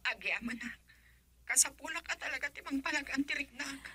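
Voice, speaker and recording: Anguished young Filipina, high voice. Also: female voice, fast, bright, forceful tone, dry recording. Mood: hushed sorrow. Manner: pleading, emotional. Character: anguished young woman torn between lover and family. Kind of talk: Ilocano radio drama acting.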